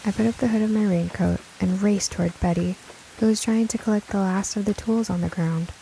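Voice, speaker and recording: A woman narrating a scripted first-person audio drama in an expressive, deliberate storytelling manner. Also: mid-range voice, creaky, a little hiss or hum, poor audio.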